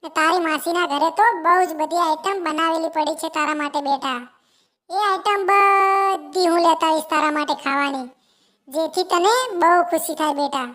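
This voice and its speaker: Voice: very high-pitched, steady tone, normal speed, sharp tone. A performer voicing a doting, worried mother sparrow in a children's cartoon tale, coaxing and repeating fond words. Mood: reassuring. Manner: coaxing, repetitive, keeps addressing the child fondly. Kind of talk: animated children's story dialogue.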